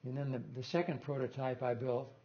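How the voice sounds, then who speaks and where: A man's voice: medium pitch, fairly even intonation, brisk, mellow, muffled recording. An older man giving an informal technical talk in a lecture room, rambling and correcting himself with small chuckles. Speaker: guest lecturer on loudspeaker design.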